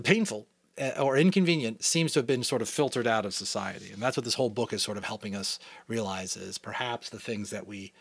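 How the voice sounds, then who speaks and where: A man's voice: medium pitch, no room sound. A man from New England, a father, giving a dramatic audiobook reading with casual commentary. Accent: New England American. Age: adult, a father. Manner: animated, expressive storytelling. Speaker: audiobook reader.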